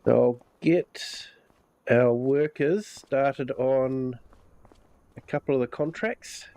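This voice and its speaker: A man with a New Zealand accent chatting through a game live stream in a relaxed, rambling way with lots of fillers, his nose a bit stuffed up. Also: mid-range voice, slow, no room sound. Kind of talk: casual live-stream commentary.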